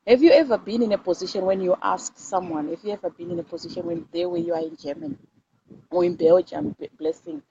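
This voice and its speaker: A muffled, low-fidelity recording with noticeable background noise carries a woman with a Zimbabwean accent. She hosts an informal motivational talk, addressing viewers directly and emphatically. She often repeats a phrase to drive it home. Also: quick pace, dark tone. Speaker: host of live videos. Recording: dull lo-fi audio with clear background noise.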